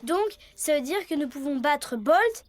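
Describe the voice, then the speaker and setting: A woman's voice: high voice, lively intonation, unhurried, soft background music. A woman voicing a brave young male leopard cub in a cartoon, spirited and confident. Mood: challenging. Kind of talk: animated series dubbing.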